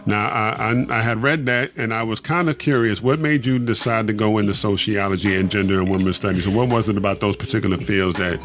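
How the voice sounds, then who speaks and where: A man hosting an interview show, speaking haltingly with many uhs and restarts. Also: lowish voice, over music. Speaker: podcast host.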